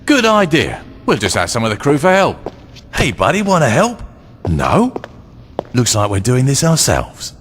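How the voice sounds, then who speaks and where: An actor playing a blunt, tough gang boss with a dry delivery in a video game cutscene. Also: male voice, medium pitch, wildly swooping pitch, normal speed, fairly bright tone, breathy edge, heavily acted. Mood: wry.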